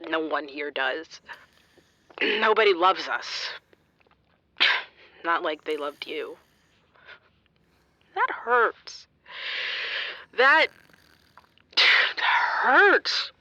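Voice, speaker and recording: A woman's voice: deep voice, very animated, drawn-out words, pauses often, pushed and bright, no echo, dull audio, full character voice. A woman playing a troubled, self-doubting young radio interviewer in a naturalistic audio drama. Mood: tipsy, despondent. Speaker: radio reporter.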